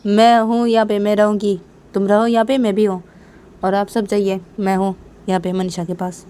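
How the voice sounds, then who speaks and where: A woman's voice: mid-range voice, flat delivery, normal speed, warm tone, natural voice. A woman voicing a firm, protective older mother in a family story, insisting and reproaching as she repeats herself. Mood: reproachful.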